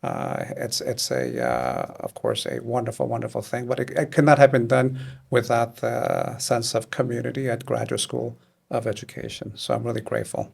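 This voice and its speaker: Male guest, gravelly and rasped, measured and reflective delivery. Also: mid-range voice, flat delivery, a little mellow. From born in South Korea, raised in the United States. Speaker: guest, alumnus. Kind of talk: podcast interview.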